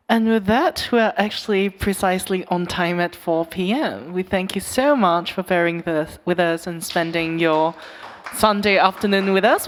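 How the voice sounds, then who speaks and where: A woman's voice: lowish voice, a little hiss or hum. A woman moderating a panel talk, handing questions to speakers and closing with wry jokes. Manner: wry, joking asides.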